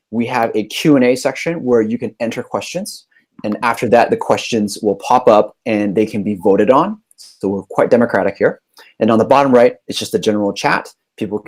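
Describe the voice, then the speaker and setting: Casual man giving a quick walkthrough. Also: medium pitch, darkish tone. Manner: casual, filler-heavy. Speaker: platform representative. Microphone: video call.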